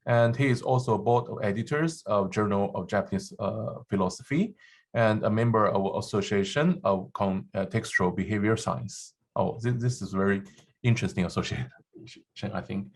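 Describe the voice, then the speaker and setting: A man whose first language is not English chairs a seminar and introduces the speaker, halting often with fillers and restarts. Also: lowish voice. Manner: halting, many fillers and restarts, laughs at himself. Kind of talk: hesitant spoken introduction. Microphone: handheld or desk microphone.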